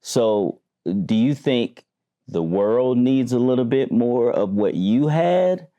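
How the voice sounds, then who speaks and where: A man's voice: mid-range voice, animated, slow, mellow, smooth. A man hosting a discussion show, talking in a conversational way, affirming his guests and asking follow-up questions. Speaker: host.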